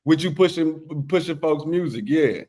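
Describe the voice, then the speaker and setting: A man's voice: on the high side, moderate pace, mellow. A man hosting a casual podcast interview, talking loosely and laid-back and peppering his sentences with "man". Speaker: podcast host.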